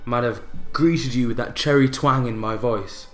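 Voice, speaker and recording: An actor playing a bitter, broken widower in a radio play monologue, brooding and theatrical, with deliberate pauses. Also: male voice, mid-range voice, a bit bright, faint music behind, natural voice. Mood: bitter, mocking. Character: bitter, broken widower recounting his unhappy marriage.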